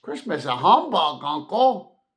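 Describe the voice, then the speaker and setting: A man acts out a warm-hearted young nephew from a storybook, cheerful and eager. The voice is lifted in pitch, with a bright, forceful ring. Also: sing-song, slow pace, exaggerated voice. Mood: pleading.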